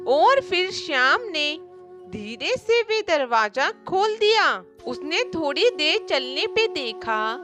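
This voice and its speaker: A woman's voice: very high-pitched, sing-song, slow. A woman reading scripted children's stories as a narrator, with music behind her. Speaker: storyteller. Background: background music.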